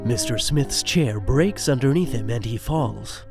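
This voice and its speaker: A man narrating a scripted comedic audio drama in a measured, wry way. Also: mid-range voice, lively intonation, over music.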